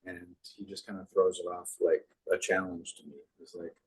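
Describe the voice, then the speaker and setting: A man with a deep voice, chatting casually as an interview guest and reminiscing in an animated storytelling way. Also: normal speed, rough recording. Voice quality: deep, husky. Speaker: guest, puppeteer.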